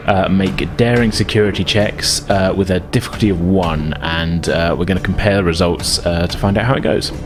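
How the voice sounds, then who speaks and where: A man acting as game master, explaining and narrating a tabletop game in a casual, hesitant way. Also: fairly low pitch.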